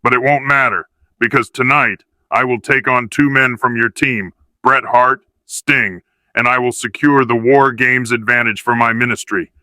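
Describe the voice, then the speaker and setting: A man voices a sinister wrestling overlord with a very sharp, forceful edge. He speaks in grand, ominous threats in a scripted game promo. The sound is dry and close. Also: medium pitch, steady tone, normal speed, no echo. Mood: menacing. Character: menacing dark wrestling villain, leader of a dark ministry.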